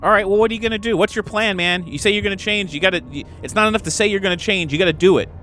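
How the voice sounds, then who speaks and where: Man playing an angry, scornful guy, voice pushed high. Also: rapid-fire, bright, forceful tone, faint music behind. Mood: angry, demanding. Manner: insistent, informal, challenging. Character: angry player character, scornful and confrontational, demanding change.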